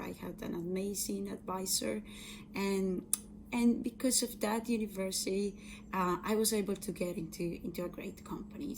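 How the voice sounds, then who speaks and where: Brief: woman in her thirties, Colombian accent, mid-pitched, earnest and halting, faint hiss behind. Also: vocal fry, darkish tone, poor audio. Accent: Colombian Spanish. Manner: earnest, frequent fillers and restarts. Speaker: software engineer and data scientist. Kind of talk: personal career talk to camera.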